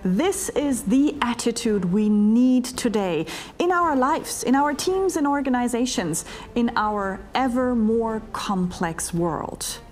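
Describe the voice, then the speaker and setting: A middle-aged woman delivers a scripted pitch for her keynote with a fairly high, lively voice that rises and falls a lot. She speaks slowly and deliberately, leaning on dramatic pauses. Faint music plays under her studio lapel recording. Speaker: keynote speaker and leadership coach. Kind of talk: scripted promotional monologue to camera. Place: studio before a green screen, edited onto a virtual ship deck. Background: faint music bed.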